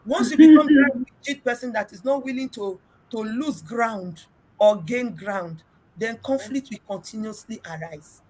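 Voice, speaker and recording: A middle-aged woman with a Nigerian accent and a big, loud voice, hosting a casual relationship talk and telling stories emphatically. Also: a little high, moderate pace, low-quality recording. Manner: emphatic, storytelling, mixes in pidgin. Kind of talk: casual group discussion.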